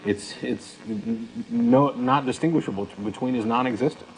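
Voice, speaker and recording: A man's voice: mid-range voice, sing-song, normal speed, vocal fry, low-quality recording. A man hosting an unscripted call-in show, speaking in a blunt, emphatic manner. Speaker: talk show host. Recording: faint background noise.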